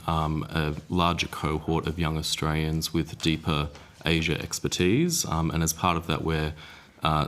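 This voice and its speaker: A man, a government official, giving a steady, factual briefing full of figures to a committee. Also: very deep voice. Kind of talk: formal briefing.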